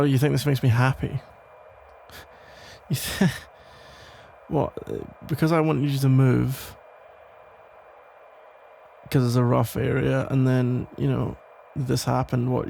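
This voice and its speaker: Guy doing a worried protective cop ex, asmr roleplay, super croaky voice, casual n a bit exasperated, slight noise behind. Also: medium pitch, steady tone. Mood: exasperated, earnest. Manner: halting, casual, gently exasperated. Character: protective, worried male police officer, listener's ex-boyfriend. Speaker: audio roleplay performer. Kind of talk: ASMR roleplay, conversational one-sided acting.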